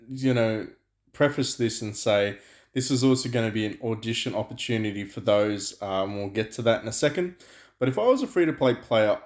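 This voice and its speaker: A man hosting a gaming channel, talking loudly and chattily through gameplay with many fillers and restarts. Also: medium pitch. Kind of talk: casual gameplay commentary. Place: home room, night, dogs and frogs outside.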